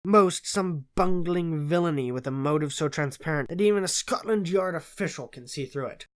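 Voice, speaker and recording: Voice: mid-range voice, normal speed, close to an everyday voice. A narrator playing a conceited, analytical male detective in an audiobook, lecturing with self-assured disdain. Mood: complaining, boastful. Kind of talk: audiobook character voice in classic detective story.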